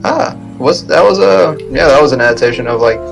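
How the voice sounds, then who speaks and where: Creaky, higher-pitched man chatting. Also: animated, brisk, over music, low-quality recording. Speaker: podcast co-host. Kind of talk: casual chat.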